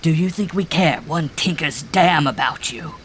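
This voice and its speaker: A man voicing a heavy middle-aged farmer in a cult, a fanatic who shouts and rants in a strained, raucous voice. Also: mid-range voice, moderate pace, vocal fry, slight background noise, lightly acted. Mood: raging. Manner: shouting fanatic, later sly and cold. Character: raving heavy male farmer cult follower.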